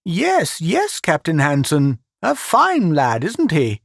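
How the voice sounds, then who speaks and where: A man's voice: wildly swooping pitch, slow, creaky, no room sound, clean studio sound, full character voice. A man voicing a fatuous, doting old rich man in a storybook reading. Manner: fond, fussy and high-pitched.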